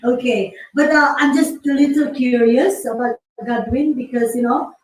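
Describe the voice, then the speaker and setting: Bright-voiced woman host in an echoey room. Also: high-pitched, fairly even intonation, normal speed, a little hiss or hum. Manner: upbeat and welcoming, laughs often. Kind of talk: online interview. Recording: echoey room, distant mic.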